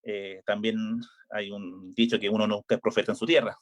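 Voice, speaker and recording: A man's voice: lowish voice, level tone, brisk. A middle-aged Chilean man, a volleyball coach, talking casually in an interview with frequent hesitations and restarts. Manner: hesitant, many filler "eh"s and restarts. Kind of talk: relaxed interview chat.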